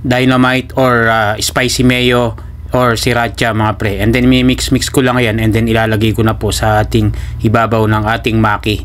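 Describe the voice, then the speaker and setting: A Filipino man narrates a casual food vlog in a matter-of-fact way. His voice sits at a middle pitch and stays very even, moving step by step through the work with English terms mixed in. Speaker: food vlogger and restaurant sushi cook.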